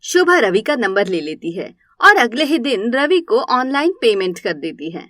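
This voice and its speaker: Woman, scripted story narration, high pitch, very dry sound. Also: very animated, moderate pace, a bit bright, no echo.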